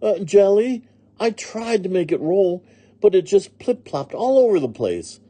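Man putting on a folksy, high-pitched housekeeper woman. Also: very animated, measured pace, dark tone, full character voice. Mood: innocent. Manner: folksy, earnest, homespun phrasing. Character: cheerful, literal-minded woman housekeeper. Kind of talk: children's storybook character reading.

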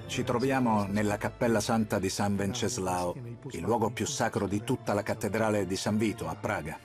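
A middle-aged man explaining history while guiding through a cathedral chapel, calm and explanatory. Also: lowish voice, normal speed. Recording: music underneath.

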